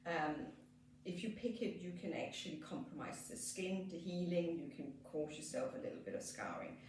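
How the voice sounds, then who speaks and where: A woman with a Danish accent, a skin therapist gently explaining a treatment as she works, with frequent fillers. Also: on the low side, moderate pace, distant mic, background noise. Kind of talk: treatment demonstration. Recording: echoey room, off mic.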